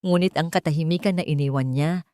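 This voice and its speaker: A woman with a Filipino accent narrating a dramatic first-person story in measured, solemn, deliberate phrases. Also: low-pitched, mellow, no room sound, clean studio sound. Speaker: audiobook narrator.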